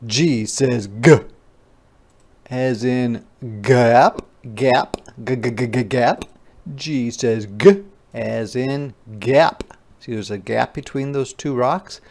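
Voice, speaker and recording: A teacher reading aloud to young children in an interactive phonics lesson, deliberately repeating sounds and words and adding simple explanations. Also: medium pitch, gravelly, darkish tone. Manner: child-directed explanatory asides.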